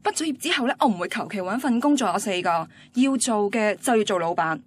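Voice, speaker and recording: An actress as a blunt, higher-voiced young woman. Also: animated, fast talker, lightly acted. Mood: determined. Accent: Hong Kong Cantonese. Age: young adult. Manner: matter-of-fact, dismissive. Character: blunt, ambitious young female student, unsentimental girlfriend. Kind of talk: radio drama acting.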